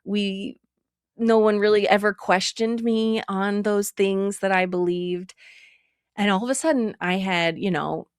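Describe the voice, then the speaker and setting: A woman's voice: medium pitch, steady tone, a little slow, clear, resonant tone, dry recording, studio quality. A woman from Utah, a comedian guest telling personal stories in an interview, laughing often and acting out her own past thoughts. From Utah, USA.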